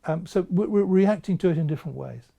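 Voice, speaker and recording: A man's voice: high-pitched, quick pace, creaky, dark tone. A man speaking as an author in an interview, reflecting thoughtfully with a storyteller's careful phrasing.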